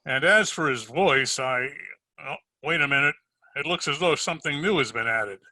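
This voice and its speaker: A man's voice: fairly high pitch, expressive, normal speed, sharp tone, dry recording, low-quality recording. A man playing a dry, wisecracking private detective in an old-time radio drama, narrating his case in hardboiled style. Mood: matter-of-fact. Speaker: amateur radio drama player.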